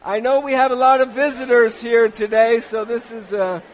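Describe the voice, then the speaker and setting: A man's voice: very high-pitched, steady tone, a faint crowd. A man preaching a sermon in a church, talking to his congregation in a loose, conversational way with frequent restarts. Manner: conversational, halting with restarts, chuckling asides. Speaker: pastor. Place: church sanctuary.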